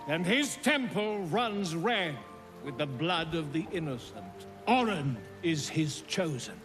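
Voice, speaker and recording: A courteous, theatrical scholar in a fantasy game. His voice sits high and swings widely in pitch. He phrases things slowly and ornately, with earnest drama over soft music. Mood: grave. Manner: florid, precise, dramatic phrasing. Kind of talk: cinematic video game acting.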